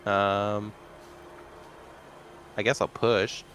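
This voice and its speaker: A man's voice: lowish voice, little pitch movement, drawn-out words, fairly bright tone, a little hiss or hum. A man playing a tabletop game, blunt and commanding, curt with the others. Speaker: player. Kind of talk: in-character tabletop banter.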